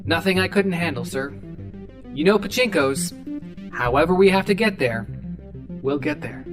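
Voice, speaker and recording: A man voicing a weary young courier who narrates a cyberpunk story in a subdued, measured way. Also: fairly high pitch, expressive, voice pushed up, lightly acted. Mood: respectful, dogged. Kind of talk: scripted first-person audio fiction narration. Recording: music behind the voice.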